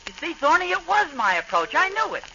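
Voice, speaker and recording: An actor playing a boastful, bumbling suburban husband in an old radio sitcom, talking in eager, self-satisfied exclamations. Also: male voice, very high voice, wildly swooping pitch, brisk, sharp tone, exaggerated voice. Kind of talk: 1940s radio sitcom acting. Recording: muffled, noisy old radio recording.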